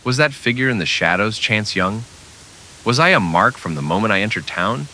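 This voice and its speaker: A man's voice: on the low side, lively intonation, moderate pace, bright, forceful tone, a little hiss or hum, rough recording. A man narrating a scripted old-time western radio drama with dramatic storytelling delivery.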